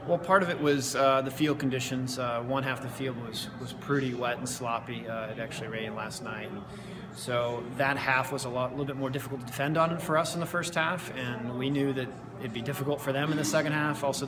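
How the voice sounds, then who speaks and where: A man's voice: medium pitch, steady tone, brisk. Earnest man in noisy hallway. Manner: reflective, earnest, many restarts and fillers. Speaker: head soccer coach. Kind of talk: post-game interview. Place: indoor hallway.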